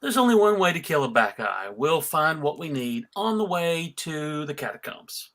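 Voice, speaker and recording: A man's voice: a little high, sing-song, moderate pace, a bit bright. A man playing a terse, tough female warrior leader, curt and commanding, in a live script read.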